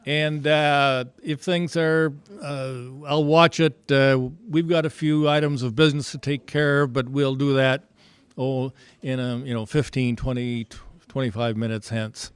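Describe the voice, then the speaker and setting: A man chairing a club meeting with informal announcements, speaking in a halting, folksy way. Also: mid-range voice, little pitch movement, clean tone, no echo.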